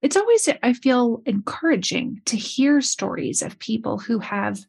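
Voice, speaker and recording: A woman's voice: fairly high pitch, lively intonation, a little slow, creaky, darkish tone, slightly rough. A woman podcast host asking thoughtful, reflective questions. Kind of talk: interview questions.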